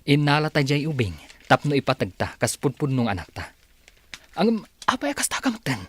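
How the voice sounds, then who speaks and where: A man playing a protective father in an audio drama, with a husky, earnest, admonishing way of talking. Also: a little high, huge pitch swings, fairly quick, fairly bright tone, full character voice. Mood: reproachful. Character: protective, reproachful father defending his adopted son.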